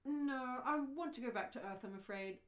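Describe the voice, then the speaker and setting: A woman's voice: fairly high pitch, moderate pace, lightly acted. A woman playing a bewildered, indignant human stranded in space, questioning everything in an amateur radio play. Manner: questioning, exasperated.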